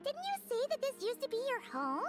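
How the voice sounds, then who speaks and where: A squeaky, extremely high fairy sidekick in a video game, with music behind. The tiny creature is childlike, curious and excitable, swooping up and down as it talks. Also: female voice, a little fast, heavily acted. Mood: chirpy.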